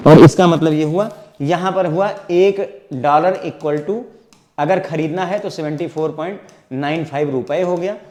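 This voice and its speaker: A middle-aged Indian man with a fairly high voice gives an explanatory exam prep lecture. He keeps asking the class questions and answering them himself, the way a classroom teacher does. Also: darkish tone.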